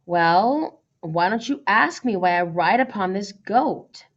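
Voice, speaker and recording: A woman's voice: medium pitch, lively intonation, takes it slowly, lo-fi sound. A woman voicing a spirited, headstrong young princess in a storybook, bold and teasing, with emphatic questions. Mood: teasing challenge. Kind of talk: storybook character voice.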